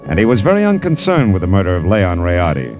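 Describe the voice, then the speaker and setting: An actor with an American accent playing a wry, world-weary private detective in an old radio noir drama, speaking in a terse, sardonic way. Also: male voice, deep voice, huge pitch swings, fast talker, soft background music. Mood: wry narration. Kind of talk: 1940s-50s radio noir narration and acting. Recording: dull vintage radio broadcast recording.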